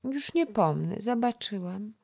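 A woman voicing a chatty, playful little girl who coaxes a boy with a high, lively childlike voice. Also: unhurried, creaky, slightly rough, heavily acted.

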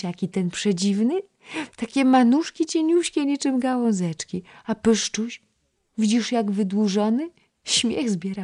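A chatty little girl, high and coaxing. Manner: high, lively, coaxing childlike voice. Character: chatty little girl, a playful young princess's ward.

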